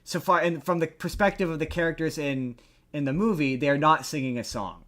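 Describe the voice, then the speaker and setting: A man's voice: fairly high pitch, brisk, a bit bright. A man hosting a casual pop-culture discussion, talking in a rambling way and repeating words for emphasis. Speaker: podcast host.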